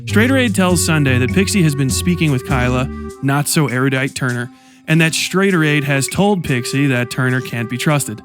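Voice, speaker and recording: A man reads a scripted commentary timeline at a middling pitch, measured and dry with a sardonic edge. A guitar track plays clearly underneath his voice. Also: expressive. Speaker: commentary narrator. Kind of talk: scripted documentary-style narration. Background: guitar music.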